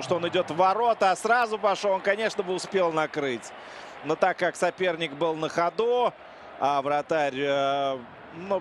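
A man doing play-by-play commentary on a football match, excitable and quick to raise his voice on chances. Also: high-pitched. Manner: excitable play-by-play, shouting on goals. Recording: crowd noise behind. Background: stadium crowd.

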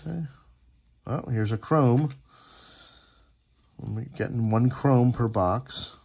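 A man with a gravelly, husky voice giving casual, chatty, appreciative running commentary as he hosts a card-opening video at a table indoors. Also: medium pitch, slow, a little mellow. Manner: chatty, appreciative, reading names aloud. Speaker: host opening sports card packs.

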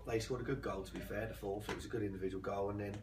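A man's voice: on the low side, fairly even intonation, racing, background noise. A man, team captain, giving a dry, understated post-match assessment in a small clubhouse room. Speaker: team captain and acting manager. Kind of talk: casual post-match interview. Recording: echoey, distant, with chatter.

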